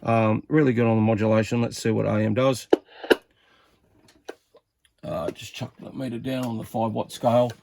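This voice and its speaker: A man's voice: mid-range voice, level tone, normal speed, vocal fry, pauses often, darkish tone, dry recording. A man giving a casual hands-on demonstration as a radio hobbyist, rambling informally and thinking aloud as he goes.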